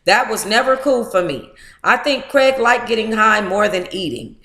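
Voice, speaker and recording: An American woman hosting a book club, reading aloud informally and breaking into animated, playful, candid asides. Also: mid-range voice, normal speed, echoey room, rough recording.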